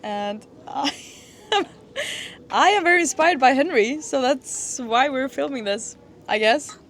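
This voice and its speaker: A woman's voice: high voice, lively intonation, a little slow, a bit bright, a little hiss or hum. Giggly woman from Sweden. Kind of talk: casual chat.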